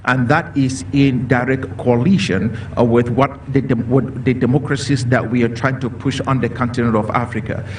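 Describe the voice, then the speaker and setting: A man's voice: mid-range voice, steady tone, moderate pace, hardly any pauses. Man from Cameroon, distant mic. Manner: earnest, repetitive phrasing with fillers. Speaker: panelist. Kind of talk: panel discussion commentary. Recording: distant mic with faint noise.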